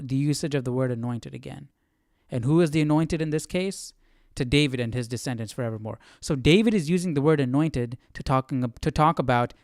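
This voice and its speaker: A man hosting a casual live Bible study, thinking aloud in a halting way and asking rhetorical questions as he goes. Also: medium pitch, a little mellow, no echo, studio quality.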